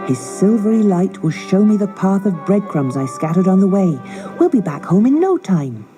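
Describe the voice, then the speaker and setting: A woman voicing a plucky, earnest young boy in a fairy tale, with an eager, bright manner. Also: medium pitch, sing-song, normal speed, over music, pitched above the performer's own voice, natural voice, rough recording. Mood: reassuring.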